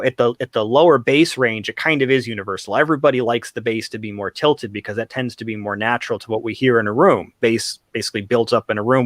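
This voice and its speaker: A man's voice: mid-range voice, fairly bright tone, dry recording. A man giving detailed technical explanations in conversation as an expert guest, carefully qualifying his points.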